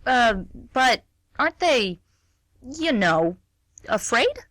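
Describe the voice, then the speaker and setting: Radio play: female actor as mild, hesitant teacher, highish voice with lively swings, dry close sound. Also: slow pace, no room sound, exaggerated voice. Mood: hesitant, questioning. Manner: hesitant, gently questioning. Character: polite, mild female schoolteacher, curious and hesitant. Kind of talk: amateur radio play acting.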